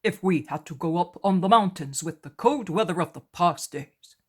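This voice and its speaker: A man's voice: high voice, normal speed, breathy edge. Woman doing a practical, plain-spoken male disciple. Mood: matter-of-fact.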